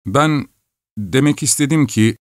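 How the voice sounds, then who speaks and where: A man's voice: measured pace, dry recording, natural voice. A sturdy, naive young peasant lad, as a male reader puts him on talks in a raised, whining voice that keeps rising in complaint. The sound is close and dry. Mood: sulky. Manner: whiny, plaintive, rising pitch.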